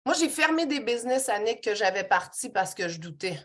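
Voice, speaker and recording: A woman's voice: on the high side, very animated, a little fast, fairly bright tone. A woman hosting a podcast, affirming her guest and speaking candidly about her own doubts. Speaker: podcast host. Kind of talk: conversational interview.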